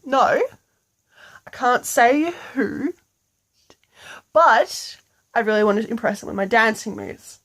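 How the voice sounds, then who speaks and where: A woman acts out a cool young male turtle from a bedtime story. The delivery is casual and earnest, in a fairly high register. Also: lively intonation, slow pace, pauses often, a bit bright, exaggerated voice.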